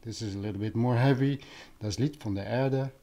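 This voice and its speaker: A man's voice: mid-range voice, fairly quick, warm tone. A man from the Netherlands with a Dutch accent, chatting casually and unscripted for a vlog, searching for words as he goes. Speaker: vlogger, audio enthusiast. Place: living room at home.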